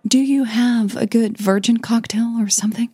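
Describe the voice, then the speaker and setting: A woman's voice: a little high, fairly even intonation, moderate pace, warm tone, breathy edge, dry recording, voice pushed up. A woman voicing a shy, distracted young woman who speaks hesitantly in an audio drama. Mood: polite.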